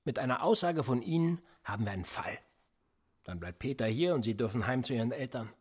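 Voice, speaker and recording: A cool, manipulative police interrogator in a radio play. His voice is a little husky, and his pitch swings widely as he pushes a suspect with probing questions. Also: lively intonation, a little fast. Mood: pressing. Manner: probing and insistent, pressing with pointed questions. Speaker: police officer.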